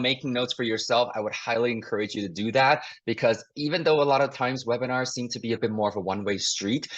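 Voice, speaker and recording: A man's voice: mid-range voice, fairly bright tone. A man from Taiwan whose first language is Mandarin, hosting a live investing webinar over a video call in an upbeat, chatty, self-deprecating way. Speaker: investing mentor and webinar host. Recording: dull lo-fi video call audio.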